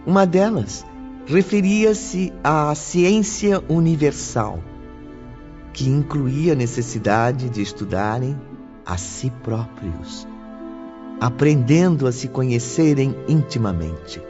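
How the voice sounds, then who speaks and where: A man reading scripted audiobook narration in the third person, deliberate, solemn and formal. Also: medium pitch, animated. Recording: dull lo-fi with music bed. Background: faint background music.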